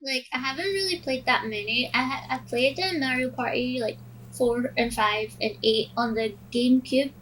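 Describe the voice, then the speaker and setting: A woman's voice: high voice, moderate pace, fairly bright tone, slight background noise, low-quality recording. A woman co-hosting a podcast in casual chat, with hesitant phrasing full of "like". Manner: hesitant phrasing, frequent use of "like". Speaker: podcast co-host.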